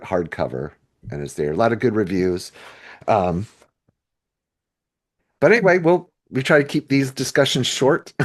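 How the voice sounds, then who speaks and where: Middle-aged American male co-host, lower register, informal and conversational, thinks aloud. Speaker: podcast co-host. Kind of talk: casual discussion.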